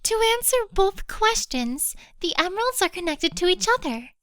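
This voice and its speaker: A woman playing a wry, weary, secretive teenage girl in an audio drama, with expressive delivery. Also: extremely high pitch, normal speed, no echo, studio quality, heavily acted.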